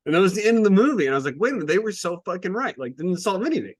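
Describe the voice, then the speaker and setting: A man co-hosting a casual book review podcast, talking in an animated, exasperated way and swearing casually. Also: high voice, racing, no echo. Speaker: podcast co-host.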